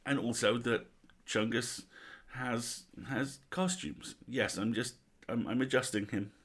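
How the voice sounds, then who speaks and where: A man's voice: mid-range voice, unhurried, a bit bright, breathy edge. A man hosting a livestream in casual chat, with a wry, jokey, playful manner. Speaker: livestream host.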